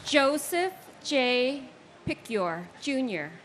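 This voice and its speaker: A woman reads names of victims aloud at a memorial ceremony in a solemn, deliberate way. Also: sits high, sing-song, a little hiss or hum. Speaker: name reader. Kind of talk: memorial ceremony name reading.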